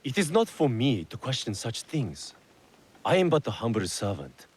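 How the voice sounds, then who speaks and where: An actor playing a sly, deferential shrine servant, smoothly polite with a faintly mocking edge. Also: male voice, medium pitch, sing-song, moderate pace. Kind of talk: video game cutscene acting.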